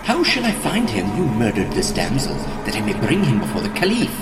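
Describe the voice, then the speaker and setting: An actor playing a dutiful, troubled vizier in an audio drama, solemn and formal in archaic dialogue. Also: male voice, mid-range voice, huge pitch swings, brisk, heavy background noise. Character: deep-voiced male vizier, dutiful, troubled servant.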